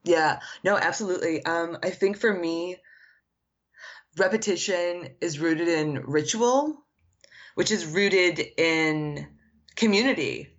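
A woman's voice: fairly low pitch, slow, dull audio. A woman artist whose first language is Arabic, answering interview questions in an earnest, reflective way, with frequent "like" fillers. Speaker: artist guest.

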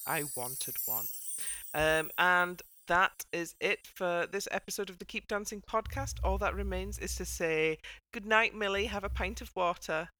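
Female podcast host, smooth and forward, dryly recorded, wry and irreverent in casual panel chat. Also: on the low side, moderate pace, sharp tone, no echo.

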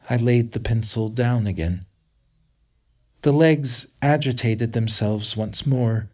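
A man reads literary prose at a slow pace. His delivery is deliberate, with pauses that set each phrase apart. The recording is a little dull and muffled. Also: medium pitch, a little mellow. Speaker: reader of a literary essay. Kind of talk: literary essay reading.

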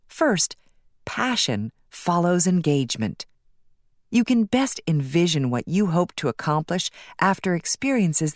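A woman reading scripted nonfiction as an audiobook narrator in a measured, articulate, instructive way. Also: fairly low pitch, dry recording, clean studio sound.

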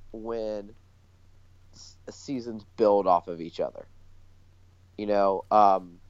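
A man's voice: fairly low pitch, clean tone. A man co-hosting a podcast, chatting casually in tentative, stop-and-start phrases on a dull recording. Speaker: podcast co-host. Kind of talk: casual chat. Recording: dull, lo-fi, roomy echo.

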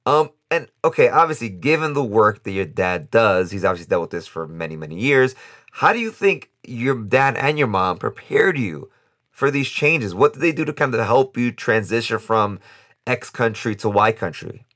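A man's voice: mid-range voice, expressive, normal speed, fairly bright tone. A man interviewing a guest in a casual way, asking questions and laughing easily.

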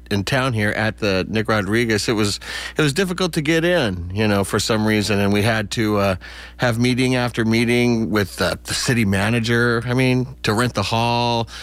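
A man's voice: medium pitch, fairly bright tone, no echo. A man with an American accent talking casually as an interview guest about his work. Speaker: playwright and theater founder, interview guest.

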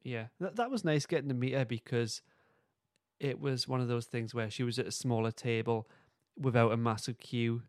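Man, gravelly fry, dry close sound, informal podcast host, understated. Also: mid-range voice, normal speed, darkish tone, no room sound. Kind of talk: casual review chat.

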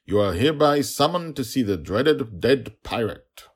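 A man's voice: medium pitch, expressive, measured pace, breathy edge, voice pushed up. A man voicing a pompous, easily angered pirate prison guard in a story, with formal, proclaiming delivery. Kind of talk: audio storytelling character voice.

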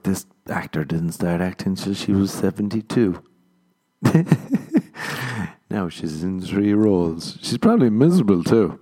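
A low-pitched man talks loosely on his podcast. He rambles from story to story, swears freely and breaks into laughter at his own lines. His delivery is casual and unscripted. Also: a little mellow. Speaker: comedian and podcast host. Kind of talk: casual comedic monologue. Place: living in Los Angeles.

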